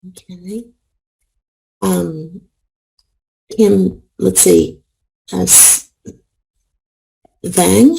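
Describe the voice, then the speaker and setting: A woman's voice: on the low side, very slow, creaky, pauses often, warm tone, low-quality recording. A woman professor leading an online class, calling on each participant and taking care to pronounce their names. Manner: careful with names, checks pronunciation. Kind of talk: calling on participants in a video meeting. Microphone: video call.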